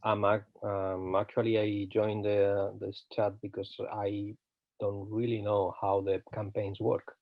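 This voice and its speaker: Slow, flat-voiced man, hesitant. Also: fairly low pitch, dry recording. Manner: hesitant, modest. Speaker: party international secretary. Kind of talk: video call introduction. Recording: dry, dull lo-fi call audio.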